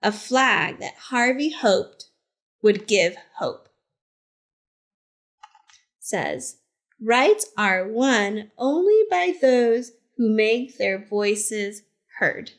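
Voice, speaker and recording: A woman reading a picture book aloud to children as a teacher librarian, in simple, deliberate phrases with short asides. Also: fairly high pitch, animated. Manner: simple, deliberate phrasing addressed to young listeners.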